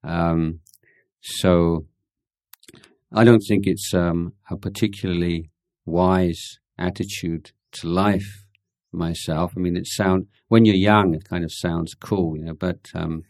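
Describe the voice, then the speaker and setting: A man with a very deep, gravelly voice talks off the cuff, pausing on fillers and doubling back on his words. His delivery is frank with a dry, wry edge, and the sound is close and dry with no room echo. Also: fairly even intonation, a little mellow. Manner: halting, many fillers and restarts. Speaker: speaker answering audience questions. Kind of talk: unscripted spoken talk with Q&A.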